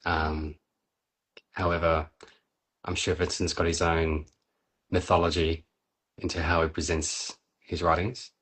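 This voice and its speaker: A man with a deep voice hosts a poetry reading. He is casual and appreciative, setting out his phrases in a measured way with small pauses. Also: flat delivery, a bit bright, breathy edge.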